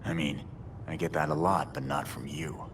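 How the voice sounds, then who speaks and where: An actor playing a brooding, sardonic undead vigilante in a video game, a big gruff man who speaks dryly. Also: a bass voice, lively intonation, background noise, exaggerated voice. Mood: dry menace. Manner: dry, sardonic, unhurried. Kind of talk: video game cutscene acting.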